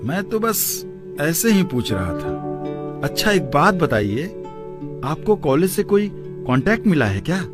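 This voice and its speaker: Man acting high-voiced cheeky college guy. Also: sing-song, a little slow, pauses often, music in the background. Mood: teasing. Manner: mocking, brash. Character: cheeky, teasing young college man in cartoon story.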